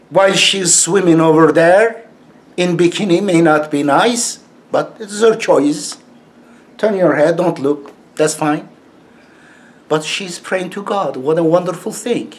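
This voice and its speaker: Slow, sarcastic man with a heavy Turkish accent. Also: high voice. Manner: sarcastic and scornful, laughs mockingly; heavily accented, broken English phrasing. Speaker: YouTube commentator. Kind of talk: casual monologue to camera.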